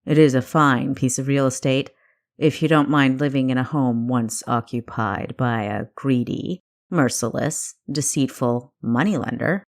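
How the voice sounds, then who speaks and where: A woman voicing a seasoned male spymaster, a dry, measured, teasing mentor in an audiobook. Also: a bass voice, voice pushed down. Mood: wry, measured. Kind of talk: audiobook character dialogue.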